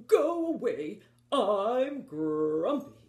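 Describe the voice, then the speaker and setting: A big-voiced, grumpy elephant out of a children's picture book, acted out by a woman reader. The words are exclaimed loudly and drawn out slowly, pitched up high. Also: very animated, reverberant.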